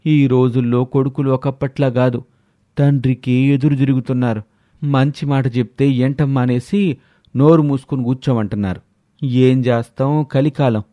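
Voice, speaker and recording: A man's voice: mid-range voice, dry recording, highs cut off, close to an everyday voice. A man voicing a chatty, rustic older farmer neighbour in a story, folksy and resigned.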